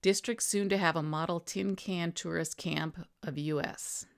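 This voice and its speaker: A woman with a low-pitched voice reads out old newspaper items as a narrator. Her delivery is matter-of-fact, moving between formal headline reading and plainer, informal retelling. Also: fairly even intonation, unhurried, vocal fry. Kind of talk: news reading with informal summarizing asides.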